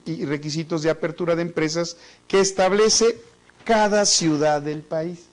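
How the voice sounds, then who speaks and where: A man with a Mexican accent teaching a business class in a chatty, joking way, often repeating words as he thinks. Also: a little high. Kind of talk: online business class lecture.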